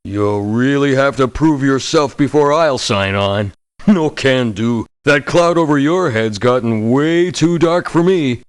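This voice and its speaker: Game mercenary, played by a man: wary professional, firm, matter-of-fact, mid-pitched, recorded very dry. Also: lively intonation, measured pace, clear, resonant tone, dry recording, natural voice. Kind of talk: video game character voice lines.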